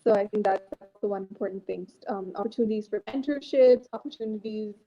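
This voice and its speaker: A woman's voice: mid-range voice, fast, mellow, slight background noise. A woman speaking as a guest in an informal online panel, hesitant and earnest, over a dull internet call. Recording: muffled, band-limited internet call.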